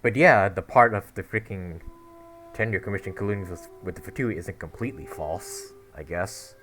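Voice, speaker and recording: Chatty young gamer woman, low voice. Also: normal speed, faint music behind. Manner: chatty, laughing, exclaiming. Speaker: gaming youtuber. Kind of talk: casual reaction commentary.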